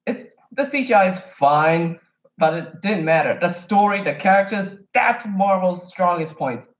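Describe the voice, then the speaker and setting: A man's voice: high-pitched, lively intonation, measured pace. A man from Kenya talking casually and enthusiastically to the camera, rambling and wandering off his point now and then. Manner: enthusiastic fan, emphatic. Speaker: vlogger. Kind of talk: casual movie review monologue. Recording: echoey room, dull lo-fi sound.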